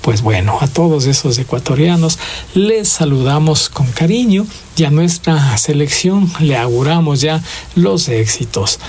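Ecuadorian radio man, higher-pitched, effusive. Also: lively intonation, measured pace, a little mellow, slight background noise. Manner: effusive, sentimental, patriotic. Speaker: radio host of a traditional music show. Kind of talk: radio presenting with listener greetings and song introductions.

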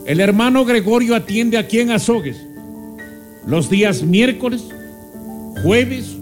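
A high-pitched man delivers a fervent preacher-style radio pitch, stacking phrase after phrase in emphatic lists. Loud music plays steadily under his voice. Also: lively intonation, measured pace. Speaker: radio host of a faith-healing program.

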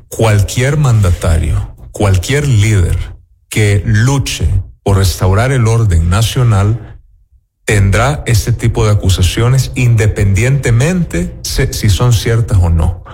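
A man's voice: fairly low pitch, expressive, slow, darkish tone, slightly rough, soft background music. A man with a Salvadoran accent, an interview guest making assertive political arguments. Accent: Salvadoran Spanish.

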